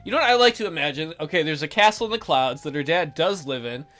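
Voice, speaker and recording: Animated, bright-voiced woman game host. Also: sits low, fast, soft background music. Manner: playful, animated, jokey. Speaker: let's play host. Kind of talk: visual novel read-aloud with joking commentary.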